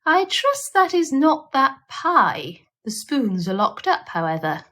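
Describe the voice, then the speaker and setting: A woman's voice: high-pitched, big pitch swings, slow, fairly bright tone, pitched above the performer's own voice, exaggerated voice. A woman voicing a prim, house-proud female cat in a storybook, with a genteel, fussy manner. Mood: suspicious.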